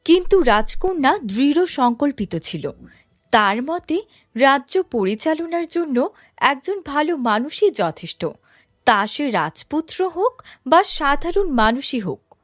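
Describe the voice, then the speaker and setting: A woman's voice: sits high, big pitch swings, slow pace, no room sound, clean studio sound. A woman narrating a scripted fairy tale as a storyteller.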